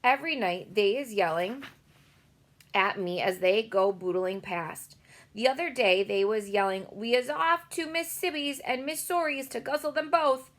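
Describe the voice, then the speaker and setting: A woman doing a gentle, muddled old giant. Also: fairly high pitch, voice pushed up. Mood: earnest, rueful. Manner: mangled grammar, slow and emphatic. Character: big gentle male giant, kindly and stubborn.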